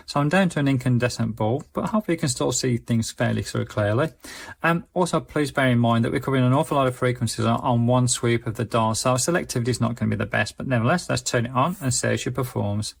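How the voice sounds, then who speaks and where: A man's voice: medium pitch, gravelly. A man giving a casual, unscripted product review, explaining things in a chatty, hedged way. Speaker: gadget reviewer, channel host.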